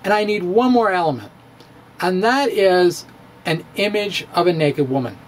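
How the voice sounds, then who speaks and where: A man's voice: high voice, expressive, normal speed, slight background noise. A middle-aged man giving a playful, theatrical reading of his own physics blog, recorded at home on a close studio microphone. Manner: playful, theatrical, with chuckles and asides. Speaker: physics blogger. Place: home study with bookshelves, indoors. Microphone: studio microphone on stand, close.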